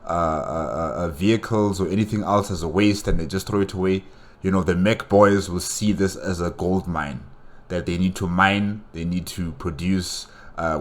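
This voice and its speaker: A man hosting a reaction video with casual, halting, repetitive commentary, often dropping in "you know". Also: low-pitched.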